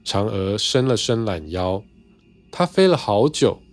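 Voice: medium pitch, big pitch swings, a little slow, soft background music. A storyteller narrating a scripted children's tale in simple storybook phrasing.

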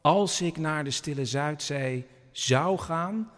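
An actor playing an earnest, musing grown son in an audio drama, friendly, inquisitive and slightly pedantic. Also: male voice, fairly high pitch, takes it slowly, fairly bright tone. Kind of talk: naturalistic Dutch audio drama dialogue.

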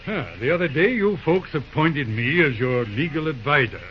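A man acts out a folksy country judge in an old radio drama, his fairly high voice swinging up and down. He repeats himself as he reasons with a mob, on a muffled recording with a crowd behind him. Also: animated, normal speed, close to an everyday voice. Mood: folksy reproach. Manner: hesitant, repeating words, drily persuasive. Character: folksy small-town judge calming an angry mob.

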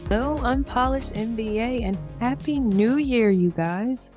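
A woman hosting a podcast and talking casually on her own. Her voice is raspy from allergies, and she chats with plenty of fillers and asides. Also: a little high, measured pace, over music. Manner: chatty and conversational, with frequent fillers and asides. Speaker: podcast host. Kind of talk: casual solo podcast monologue.

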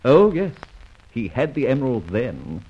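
A husky, suave, lively gentleman adventurer. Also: medium pitch, a little slow. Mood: smugly amused. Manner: breezy, teasing, flourished phrasing. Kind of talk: old radio adventure serial acting. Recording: dull, muffled old radio recording.

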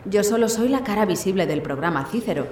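A woman's voice: medium pitch, lively intonation, fast, darkish tone, close to an everyday voice. A woman with an accent from Spain playing a modest, sincere astronaut captain at a press conference in an audio drama, speaking in a hesitant, conversational way. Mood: grateful, modest. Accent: Spanish from Spain. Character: overwhelmed, modest blind woman astronaut, pioneering mission captain. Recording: roomy echo, slight background noise.

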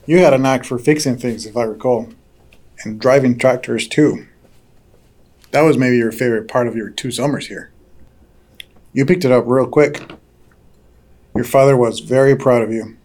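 An actor playing an older farm patriarch in an audio drama, courteous but probing, telling things in a folksy, unhurried way. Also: male voice, medium pitch, darkish tone, close to an everyday voice. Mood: fond, reminiscing. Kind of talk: naturalistic audio drama dialogue.

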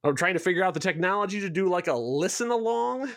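Male podcast guest, show creator type: fairly high register, dry sound, eager and discursive, casual promotional chat. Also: lively intonation, fast, a bit bright, no echo. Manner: enthusiastic, rambling explainer. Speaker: show creator being interviewed.

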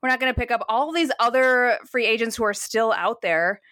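A woman's voice: fairly high pitch, brisk, fairly bright tone, no echo, studio quality. A woman co-hosting a baseball fan podcast, chatting casually in a frank, matter-of-fact way. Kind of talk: casual chat.

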